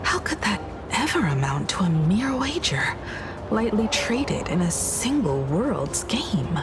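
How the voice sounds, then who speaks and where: A woman voicing a composed, scholarly woman in an anime-style game, speaking with solemn, measured eloquence. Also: mid-range voice, very animated, over music. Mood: pensive. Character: composed, scholarly woman archivist, grave and analytical.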